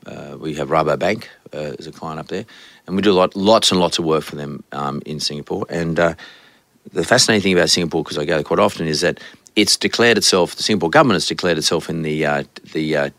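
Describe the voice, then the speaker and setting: A man's voice: extremely low pitch, a bit bright, dry recording. A man hosting a casual interview podcast, rambling with frequent restarts. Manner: rambling, quick, full of restarts. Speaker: podcast host.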